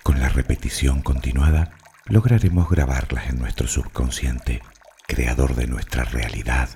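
A man with a rock-bottom, mellow voice leads a guided meditation. He delivers affirmations slowly and soothingly, leaving long pauses. His tone is dark and gravelly. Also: extremely low pitch, a little hiss or hum.

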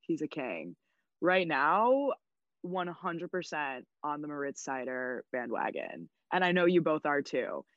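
A woman's voice: sits low, sing-song, measured pace, dry recording. A young woman from Chicago co-hosting a podcast, chatting casually with playful, blunt teasing. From Chicago, USA. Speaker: podcast co-host. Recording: dull lo-fi mic.

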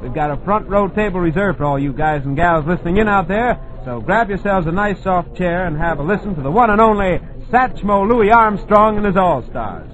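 A man's voice: sits high, animated, a little fast, few pauses, fairly bright tone. An American man hosting a 1940s radio jazz show as a jive-talking, rhyming showman. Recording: muffled old radio recording, music behind.

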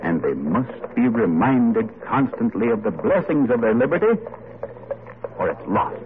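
A man's voice: mid-range voice, sing-song, unhurried, background noise. An actor playing a thoughtful, courteous and idealistic founding statesman in an old-time radio drama. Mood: courteous, earnest. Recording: tinny old radio broadcast.

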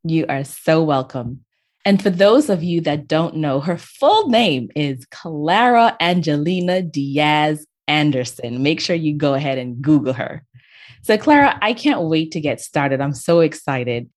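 A woman with a low voice hosts an interview show. She is effusive and leans into her words with emphasis, speaking directly to her guest in an upbeat conversational style. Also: lively intonation, measured pace. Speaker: show host.

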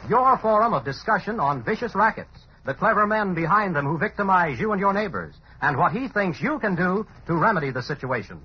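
Animated, dramatic old-time radio announcer man. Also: high voice, brisk, hardly any pauses, slightly rough. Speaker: announcer and narrator. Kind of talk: 1930s radio announcing, dramatic scripted narration. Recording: muffled, hissy old radio broadcast.

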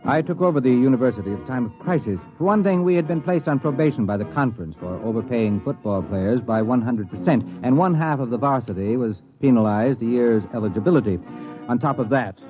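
An actor playing a harried, glib university president in a 1950s radio comedy drama, with a dry, wry delivery. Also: male voice, mid-range voice, animated, a little fast, faint music behind, natural voice. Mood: wry, narrating. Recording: tinny, band-limited old radio broadcast.

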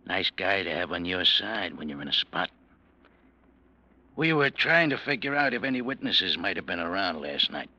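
An actor playing a menacing, sardonic crime boss in an old-time radio crime drama, speaking in slangy veiled threats. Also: male voice, low-pitched, sing-song, normal speed, bright, forceful tone, heavily acted. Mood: sly menace.

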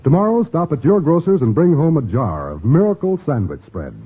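A man with a husky voice reading a persuasive, savoring 1950s radio commercial. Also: on the high side, very animated, brisk, soft background music. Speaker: commercial announcer. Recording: tinny old radio recording.

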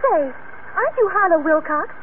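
An actress playing a coy kissing-booth girl with a sharp edge in an old radio comedy, theatrical, on a narrow vintage broadcast. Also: very high voice, expressive, normal speed, slight background noise. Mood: pointed.

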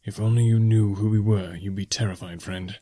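A man's voice: fairly low pitch, steady tone, normal speed, dark tone, lightly acted. A man voicing a weary, guarded mercenary in a fantasy audiobook, with a dry, brooding way of muttering. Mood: menacing, muttered. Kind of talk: fantasy audiobook character dialogue.

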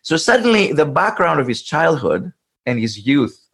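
A male scholar speaks in a documentary interview, thinking aloud as he explains. His pitch rises and falls in a lively way, and he often restarts a phrase with small fillers. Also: breathy edge. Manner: thoughtful, halting, many restarts and fillers.

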